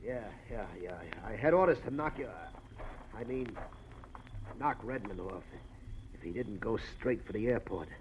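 An American actor playing a big, cowering hired thug in a 1940s radio drama, stammering in rough slang. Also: male voice, lowish voice, animated, normal speed, long pauses, lightly acted. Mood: frightened, pleading. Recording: muffled, noisy old radio recording.

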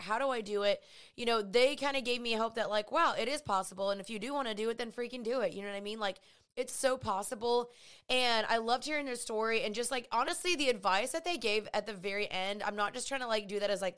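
Woman host, raspy, higher register, smooth and clear underneath, chatty and gushing. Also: fairly bright tone. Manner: chatty, gushing, many fillers. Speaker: podcast host. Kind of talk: casual podcast chat.

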